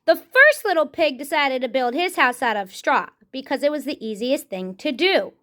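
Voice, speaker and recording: A woman's voice: high voice, lively intonation, moderate pace, a bit bright. A woman storyteller narrating expressively, as in a puppet show for children.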